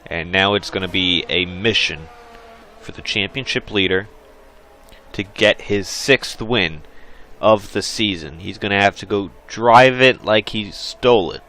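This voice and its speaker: A man commentating a sim racing league race in a casual, chatty way, his voice worn and hoarse. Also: fairly low pitch, a little slow, pushed and bright, a little hiss or hum. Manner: chatty, many fillers. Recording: game engine sounds and music behind.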